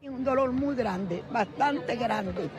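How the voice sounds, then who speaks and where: A Venezuelan woman in her sixties speaking slowly and sorrowfully outdoors. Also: a little high, crowd noise. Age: 60s. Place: outdoors at a crowded food line. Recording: street noise behind.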